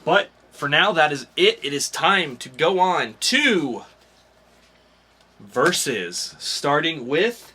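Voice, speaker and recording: A man's voice: on the high side, sing-song, slow pace, pushed and bright, breathy edge. A man hosting a podcast in a casual, chatty style. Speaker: podcast host.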